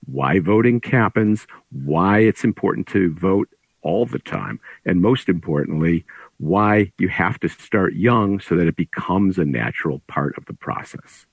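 A middle-aged man, an author guest, explaining his work in a deliberate, conversational storytelling way. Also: deep voice, animated, gravelly, husky, no room sound, poor audio.

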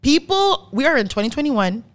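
A woman's voice: mid-range voice, normal speed, a bit bright. She is a woman in her twenties from Canada with a mid-range voice. She talks like an opinionated podcast host explaining something to a friend. Her delivery is emphatic, leaning on repeated words and free with swearing. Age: 20s. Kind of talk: casual chat, opinionated explaining.

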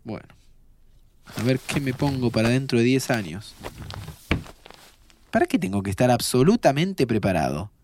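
A man's voice: medium pitch, big pitch swings, normal speed, pauses often, a little mellow, slight background noise, natural voice. A man playing a sardonic, restless young Argentine man in an audio drama. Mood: wry. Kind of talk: audio drama acting, muttering to himself.

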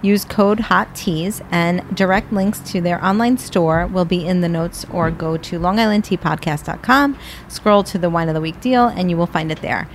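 A woman co-host with a voice a little low for a woman, bubbly and quick to agree. She reads out the sponsor details clearly. A faint hiss sits in the background. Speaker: podcast co-host. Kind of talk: casual chat and ad read.

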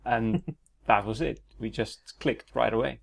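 A man from Sweden, a native Swedish speaker, co-hosting a casual podcast in a friendly, measured way. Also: mid-range voice, gravelly. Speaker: podcast co-host.

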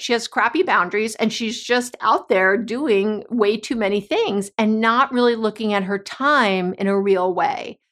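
A woman hosting an advice talk, chatty and a little hoarse, often restarting her sentences and laughing. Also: a little high, a bit bright. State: slightly hoarse. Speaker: podcast host and coach. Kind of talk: casual advice monologue.

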